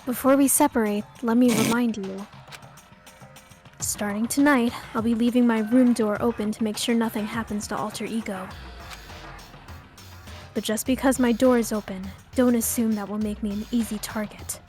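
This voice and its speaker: A woman voicing a calm, guarded teenage girl with veiled menace, measured and cool, in an amateur game dub. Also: on the high side, faint music behind, lightly acted. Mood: cool warning.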